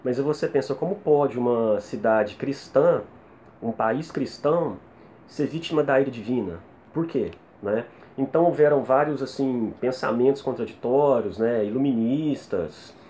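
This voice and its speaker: A man's voice: medium pitch, normal speed, warm tone. A Brazilian man in his thirties reviews music casually to camera in a conversational way, often adding little tag questions, speaking Brazilian Portuguese. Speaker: music reviewer, video host. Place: small plain room indoors. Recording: noisy home room ambience.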